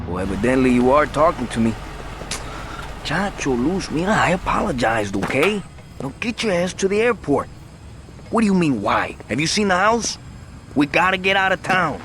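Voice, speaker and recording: Agitated gangster, exasperated, lively pitch, noisy car ambience, video game. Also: male voice. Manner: exasperated, wheedling, impatient. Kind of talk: video game cutscene acting.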